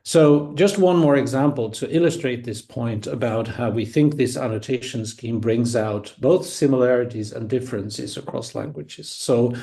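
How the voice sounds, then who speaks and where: Mellow-voiced Swedish man lecturing. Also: medium pitch, creaky. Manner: measured, explanatory, with filler restarts. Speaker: conference speaker. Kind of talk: academic conference talk. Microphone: online conference.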